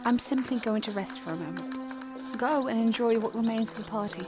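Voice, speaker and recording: A woman playing a gentle queen and mother in a fan audio drama, with a soft, courtly manner. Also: a little high, moderate pace, over music, lightly acted. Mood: tired, reassuring.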